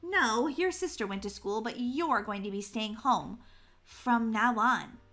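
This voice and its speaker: A woman voicing a calm, gently firm mother in an acted children's family story, speaking in a measured way. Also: on the high side.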